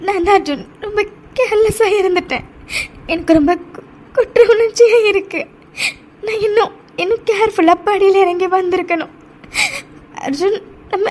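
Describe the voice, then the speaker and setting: Woman acting a scared guilty young wife in audio drama, super high weepy voice, stammers, faint noise. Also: darkish tone. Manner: tearful, halting, stammering repetitions. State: crying. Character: frightened, guilt-ridden young expectant mother, pleading with husband.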